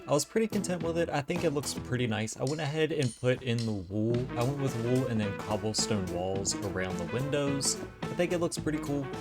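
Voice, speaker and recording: Easygoing man narrating his game build. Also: medium pitch. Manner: relaxed, easygoing self-talk while building. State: slightly raspy from allergies. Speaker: gaming channel host. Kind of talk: casual gameplay commentary. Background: faint background music.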